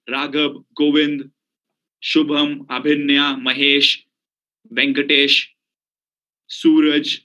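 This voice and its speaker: Forceful Indian English coach, higher voice. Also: male voice, dull audio. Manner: emphatic, addresses listeners directly with questions. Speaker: communication coach. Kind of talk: motivational lecture to an audience.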